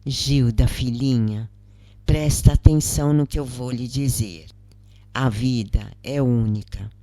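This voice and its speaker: A woman acts out a wise, elderly Brazilian mother from a storybook. She speaks low and softly, solemn and comforting, taking her time over each phrase. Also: slow, no echo, heavily acted. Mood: tender, solemn.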